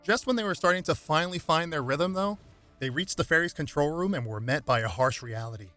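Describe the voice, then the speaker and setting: A man's voice: a little high, animated, brisk, sharp tone, faint music behind. A man reading a superhero story aloud as scripted dramatic narration.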